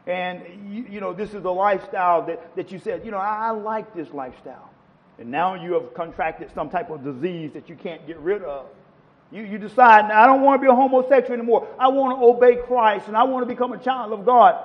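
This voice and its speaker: A man's voice: sits high, fairly quick, in a large hall, lo-fi sound. A man preaching a sermon to a congregation, quoting scripture with rising and falling emphasis. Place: church.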